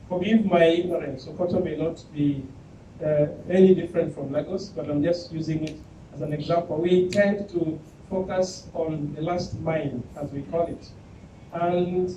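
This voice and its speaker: A man from Kenya speaking on a conference panel, explaining his organization's work in a measured way with a few fillers. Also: sits high, background noise. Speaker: panelist from a public ICT organization. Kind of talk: panel talk. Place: conference hall. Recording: echoey hall, far from the mic.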